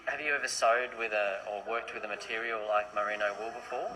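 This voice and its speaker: A male reporter gently putting field interview questions to participants. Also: fairly low pitch, fairly even intonation, a little fast. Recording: background noise on location.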